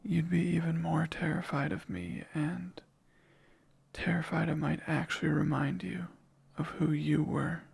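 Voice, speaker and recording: A man voicing a possessive male sleep paralysis demon in an ASMR roleplay, whispering slowly and teasingly in a gravelly, breathy voice. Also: a little high, little pitch movement, exaggerated voice. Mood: taunting. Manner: hushed close whispering, slow and teasing.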